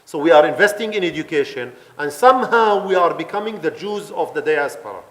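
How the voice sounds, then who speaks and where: A man's voice: on the high side, expressive, brisk, a bit bright. A man from the Palestinian community inside Israel, giving a passionate conference talk with fillers and restarts. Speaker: guest speaker at a conference. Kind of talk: public talk with questions and answers. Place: event hall in Italy.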